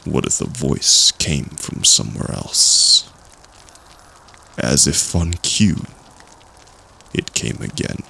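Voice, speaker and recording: A man playing a storyteller recalling a spooky childhood tale, with slow, suspenseful, dramatic phrasing in an audio roleplay. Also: pushed and bright, heavily acted. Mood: ominous suspense. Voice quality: deep, gravelly.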